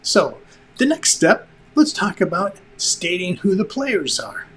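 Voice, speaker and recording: A man's voice: sits high, sing-song, slow pace, a bit bright, a little hiss or hum, low-quality recording. A man presenting an instructional lesson to viewers in a conversational, emphatic way, like a director explaining the basics.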